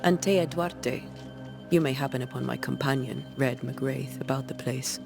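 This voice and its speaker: Low, gravelly, resolute ghost hunter. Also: female voice. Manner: measured, grave, reassuring. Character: composed, resolute woman ghost hunter in colonial times. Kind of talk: video game cutscene acting. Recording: music under the dialogue.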